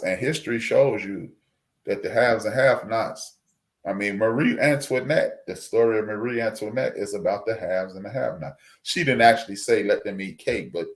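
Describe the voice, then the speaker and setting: A man reviewing a film on a podcast, talking in a reflective, lecturing way with frequent restarts. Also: on the low side. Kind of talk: casual discussion.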